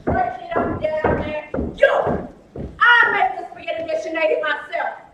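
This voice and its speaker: An actress as a loud, scolding wife. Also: female voice, on the high side, brisk, close to an everyday voice. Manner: shouting, nagging. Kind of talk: 1950s film drama. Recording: echoey, distant, hissy old film soundtrack.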